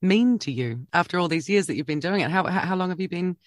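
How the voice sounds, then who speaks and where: A man's voice: high voice, lively intonation, fast, no echo, clean studio sound. A man hosting a casual interview, easygoing and affirming. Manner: easygoing, affirming, loose filler words. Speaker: podcast host.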